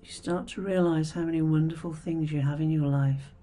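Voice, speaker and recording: Female meditation guide: soft and breathy, very mellow, soothing delivery with gentle pauses, music underneath. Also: low-pitched, steady tone. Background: background music.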